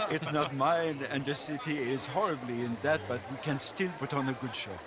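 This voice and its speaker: An actor playing a genial city mayor hosting a party in a video game cutscene, wry, polite and sociable. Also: male voice, medium pitch, normal speed, close to an everyday voice. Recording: clear outdoor party noise behind.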